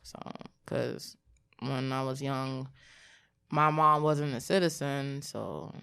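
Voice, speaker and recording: A young woman in her twenties, a podcast guest telling her story in a candid, casual and blunt way, in a small studio at a boom mic. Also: fairly even intonation, slow, creaky, a bit bright, clear, resonant tone. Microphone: studio mic on a boom arm.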